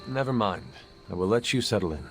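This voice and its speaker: An actor playing a stoic male supernatural warrior in a video game, with formal, dry, measured speech. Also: fairly low pitch, animated, music in the background, close to an everyday voice. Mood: polite, dry. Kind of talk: video game dialogue acting.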